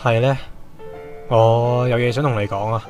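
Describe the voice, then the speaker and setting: A man's voice: medium pitch, steady tone, moderate pace, a little mellow, natural voice. A man playing a polite, sincere schoolboy footballer in a radio drama, with Hong Kong Cantonese speech and a slightly hesitant manner. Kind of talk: Hobby radio drama acting. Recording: music bed under the dialogue.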